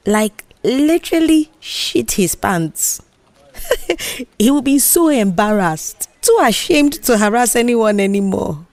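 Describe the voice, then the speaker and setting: A woman performs a bold young Nigerian office woman in an audio drama. She is mischievous and sure of herself, coaxing and brushing off objections in an animated, unhurried way. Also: mid-range voice, dry recording. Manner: animated, dismissive, persuasive. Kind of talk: audio drama character dialogue.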